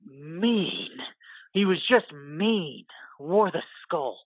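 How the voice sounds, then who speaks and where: Man acting a husky, foul-mouthed wounded biker. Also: fairly high pitch, expressive, drawn-out words, dry recording, muffled recording, full character voice. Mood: grim. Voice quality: husky, rough. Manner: profane, gritted delivery. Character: wounded, hulking, foul-mouthed male biker, gruff and bitter. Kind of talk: audio play acting.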